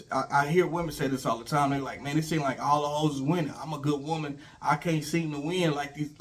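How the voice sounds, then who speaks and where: A middle-aged man who is feeling sick and slightly raspy, hosting his own channel and talking through relationship advice in a rambling, informal way with many fillers. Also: fairly high pitch. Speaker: YouTube host. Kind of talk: casual relationship advice talk.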